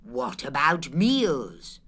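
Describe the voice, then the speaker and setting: A woman voicing a gentle, slow, hungry male teddy bear in a storybook reading, speaking softly and plaintively, sometimes close to a whisper. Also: deep voice, very animated, husky, exaggerated voice. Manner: plaintive and mild.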